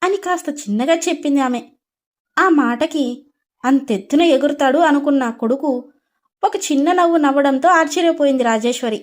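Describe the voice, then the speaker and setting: A woman's voice: high-pitched, normal speed. A woman storyteller reading a scripted story narration.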